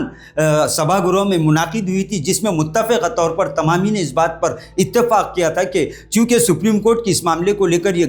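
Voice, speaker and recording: A man from India presents a news report as anchor, formally addressing the viewers. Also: sits high.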